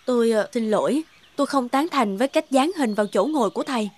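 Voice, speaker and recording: A woman's voice: fairly high pitch, expressive, quick pace. A woman voicing a kind, earnest cartoon teacher. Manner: polite, earnest. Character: kind, patient female teacher, soft-spoken. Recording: music bed behind voice.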